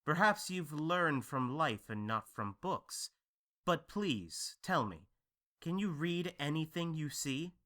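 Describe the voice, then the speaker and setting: A man playing a humble, polite, comically flustered servant in an audio drama, plain-spoken and animated. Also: a little high, slow, heavily acted. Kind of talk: audio drama acting of modernized Shakespeare.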